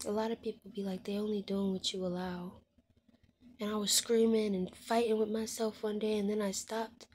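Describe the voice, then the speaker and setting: A woman in her twenties speaking candidly to her viewers, frank and heartfelt, often dropping to a soft hushed voice and swearing bluntly. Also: medium pitch, flat delivery, moderate pace, a little hiss or hum. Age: 20s. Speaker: vlogger. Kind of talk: candid personal monologue to viewers.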